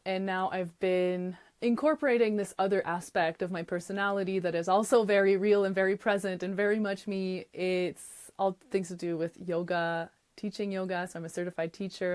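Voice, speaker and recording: A woman in her thirties from Quebec whose first language is French, hosting her own podcast alone and talking in a chatty, upbeat way with soft laughs between phrases. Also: mid-range voice. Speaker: podcast host. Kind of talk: casual solo podcast monologue.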